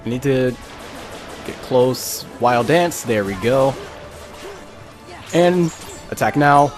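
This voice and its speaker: A man giving casual, halting live game commentary, breaking off to exclaim at the game. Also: mid-range voice, lively intonation, music in the background. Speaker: gaming video creator.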